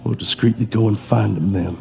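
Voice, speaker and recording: An actor playing a guarded, determined thief in an audio drama, with a terse, muttering delivery. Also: male voice, fairly low pitch, a little fast, vocal fry, warm tone. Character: tense, desperate male thief, guarded and determined.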